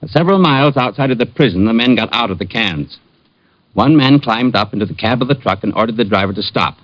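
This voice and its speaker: A man's voice: mid-range voice, expressive, fast, fairly bright tone, dry recording. A man playing a pedantic, humourless police sergeant in an old-time radio drama, stiff and precise. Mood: precise, matter-of-fact. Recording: dull, dry vintage radio recording.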